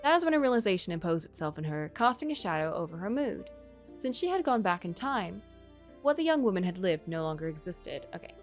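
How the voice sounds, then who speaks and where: Lively woman reading a story game aloud. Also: on the low side, brisk, soft background music. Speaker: game streamer. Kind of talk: reading a visual novel aloud with casual asides.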